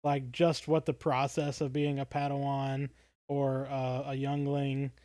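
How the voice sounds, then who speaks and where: A man's voice: mid-range voice, flat delivery, a little slow, dark tone, no room sound, clean studio sound. A man co-hosting a podcast in casual fan discussion, feeling his way toward words as he talks. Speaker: podcast co-host.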